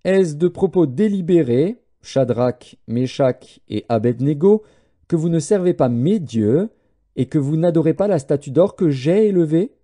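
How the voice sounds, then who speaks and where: A man's voice: a little high, expressive, a little slow, warm tone. A man voicing a proud ancient king in a declamatory audiobook scripture reading. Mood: angry, threatening.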